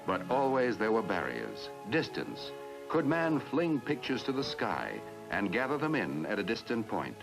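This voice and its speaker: A man's voice: lowish voice, huge pitch swings, unhurried, creaky, low-quality recording. A man narrating a 1950s educational film in a grand, dramatic style. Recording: old film soundtrack with loud music.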